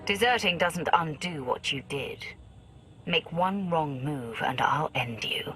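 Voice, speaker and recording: A woman playing a cold, ruthless dark warrior in a video game, speaking in a curt, commanding manner. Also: sits low, expressive, slow, soft background music, exaggerated voice. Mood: cold, threatening. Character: ruthless, cold Sith warrior, self-reliant. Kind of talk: dramatic video game acting.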